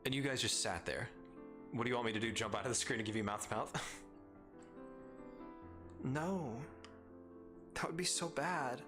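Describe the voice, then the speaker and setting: A man's voice: medium pitch, normal speed, long pauses, over music. A man with an Arizona accent hosting a live stream, chatting casually with viewers in a playful, teasing, rambling way.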